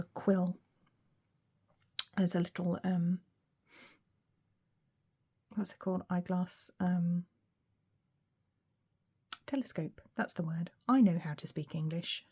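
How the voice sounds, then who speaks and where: Mellow-voiced woman with a weak, slightly hoarse voice. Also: on the low side, steady tone, takes it slowly, long pauses. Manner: musing, thinks aloud with frequent ums. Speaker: tarot reader. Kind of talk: relaxed solo tarot reading.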